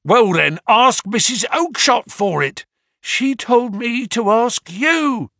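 Man putting on a frightened little man, high quavering whine, stammering, dry close sound. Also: expressive, slow pace, sharp tone, no room sound, heavily acted. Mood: whining.

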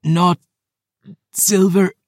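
A man voicing a gravely wounded, defiant woman warrior with a Scottish accent, halting and short of breath in an audiobook. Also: sits high, level tone, no room sound, studio quality, pitched above the performer's own voice. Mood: pained, bitter. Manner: halting, broken by pain. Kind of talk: audiobook character voice.

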